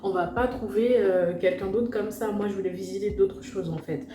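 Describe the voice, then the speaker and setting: A woman's voice: mid-range voice, normal speed. A young woman from French Guiana casually telling a personal story to her viewers in a slangy, chatty way full of fillers and asides. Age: young adult. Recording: echoey room with loud music behind.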